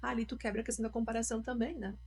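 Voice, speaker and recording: A woman's voice: fairly high pitch, level tone, rapid-fire, darkish tone. A woman hosting a podcast, agreeing and building on her guest's points in casual conversation. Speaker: podcast host.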